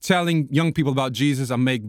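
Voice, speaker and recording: A man's voice: medium pitch, quick pace, no echo, clean studio sound. A man from Portugal with a Portuguese accent, a podcast guest talking casually and enthusiastically. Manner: enthusiastic, laughs easily. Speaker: guest, band frontman. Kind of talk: casual interview chat.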